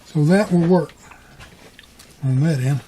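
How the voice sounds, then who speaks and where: A man's voice: fairly high pitch, slow pace, dark tone, slight background noise. A middle-aged man giving a casual hands-on tutorial, talking in a folksy, colloquial way and half muttering to himself as he works. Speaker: repair hobbyist.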